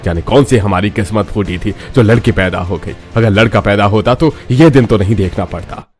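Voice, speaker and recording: A man's voice: lowish voice, brisk, soft background music, natural voice. A man voicing a harsh, threatening father berating his daughter in a family cartoon. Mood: angry. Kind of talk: Hindi family cartoon voice acting.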